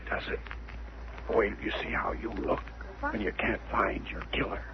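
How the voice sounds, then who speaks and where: An actor playing a bedridden, wisecracking male sleuth with laryngitis, straining in a hoarse croaking whisper, in 1940s radio style. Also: on the low side, wildly swooping pitch, moderate pace. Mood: defiant croaking. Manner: defiant, wisecracking. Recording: dull, noisy old radio recording.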